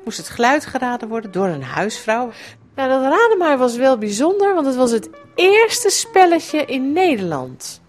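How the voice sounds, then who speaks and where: A middle-aged woman with a fairly high voice looks back in a personal radio essay. She tells her memory in a wry, reflective way. Soft music plays faintly behind her. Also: sing-song, moderate pace. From Netherlands. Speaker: writer recounting a memory.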